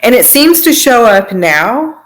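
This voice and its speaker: A woman speaking as an interview guest, giving casual personal testimony in halting, trailing phrases. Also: a little high, big pitch swings. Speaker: podcast guest sharing testimony.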